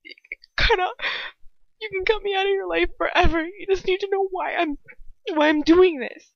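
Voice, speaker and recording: A woman playing a desperate young woman in a story, pleading urgently and haltingly, near tears. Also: a little high, lively intonation, no room sound, dull audio, voice pushed up. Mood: tearful pleading.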